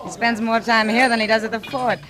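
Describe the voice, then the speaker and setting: A woman's voice: on the high side, little pitch movement, fairly quick, close to an everyday voice, poor audio. An American actress playing a worldly, friendly, shrewd saloon woman in a 1950s radio western. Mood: teasing, curious. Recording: old 1950s radio broadcast recording.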